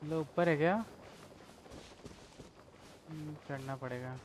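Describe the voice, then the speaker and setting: Very fast Indian man, noisy mic. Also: fairly high pitch, fairly even intonation. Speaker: game streamer. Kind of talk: casual gameplay commentary.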